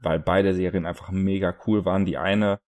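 Deep-voiced young man, dry sound. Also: steady tone, normal speed, a little mellow, dry recording. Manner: easygoing, storytelling, many fillers. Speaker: podcast co-host. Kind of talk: casual chat.

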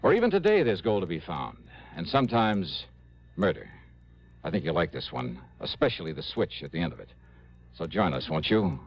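A man's voice: low-pitched, very animated, moderate pace, no echo, exaggerated voice. A man acting as a sincere American investigator in a mid-century radio drama. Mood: intriguing. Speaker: star of a radio detective drama. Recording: muffled old radio recording with music.

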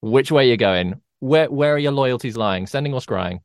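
A man's voice: mid-range voice, expressive, moderate pace, clean tone, no room sound, studio quality. A man who runs the game co-hosts a tabletop game podcast, chatting casually with lots of fillers and playful teasing. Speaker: dungeon master and podcast co-host.

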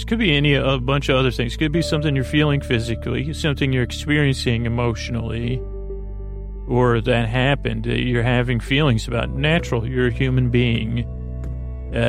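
A man with a creaky, gravelly voice hosts a sleep podcast, giving a soothing, meandering bedtime monologue with hesitant, repeating, lulling speech close to the microphone. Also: mid-range voice. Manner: meandering, hesitant, repeats and stumbles over words; lulling, gentle, drawn-out delivery.

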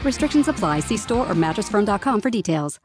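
A woman's voice: medium pitch, sing-song, a little fast, music in the background. A woman reading a scripted advertisement in an upbeat, salesy way. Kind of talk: scripted ad read.

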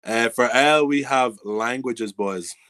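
A young Irish man talks in a casual podcast chat and gets excited easily. He fills his talk with "like" and "boys" and swears freely. His pitch is ordinary and the recording is close and dry. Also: slow, no room sound. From Ireland. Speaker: podcast co-host.

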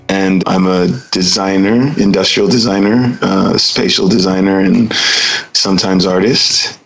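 A deep-voiced man from the States speaks as an interview guest, keeping his pitch low and nearly level throughout. He talks in a measured, relaxed way, pausing and filling gaps with small fillers as he thinks. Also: poor audio. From United States. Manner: measured, unhurried, with frequent fillers. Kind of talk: casual interview conversation.

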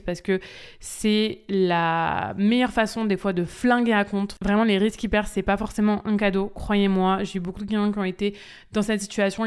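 A young woman speaks in a smooth, clear voice at a middle pitch. She gives spontaneous, direct answers on a casual solo podcast and fills her talk with hesitations. She addresses listeners like a business coach. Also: a little mellow. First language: French. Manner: chatty, direct, many fillers, talks straight to listeners. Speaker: podcast host, social media business coach.

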